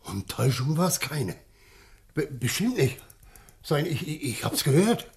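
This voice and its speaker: Aufgewühlter, stockend erzählender Mann vom Dorf. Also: male voice, a little high, very animated. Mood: uneasy, insistent. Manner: halting, stammering, insistent eyewitness telling. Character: shaken, tipsy village man recounting an uncanny sighting. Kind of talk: German radio play acting.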